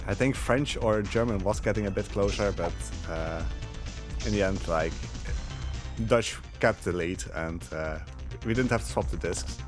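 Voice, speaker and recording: A man giving casual live commentary as a speedrunner, talking in a rambling, halting way. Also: lowish voice. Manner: rambling, halting, chuckling asides. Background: game music.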